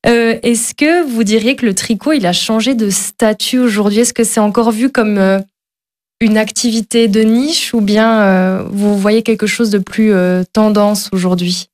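A woman's voice: mid-range voice, steady tone, a little slow, darkish tone. A woman co-hosting a morning radio show, asking questions in a friendly way with frequent hesitations. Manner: friendly, laughs easily, frequent fillers. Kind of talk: radio interview and chat.

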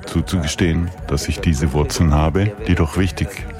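A man reading a German voice-over translation of an interview in a calm, even manner. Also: extremely low pitch, normal speed. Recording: voice-over with clear music underneath. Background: music.

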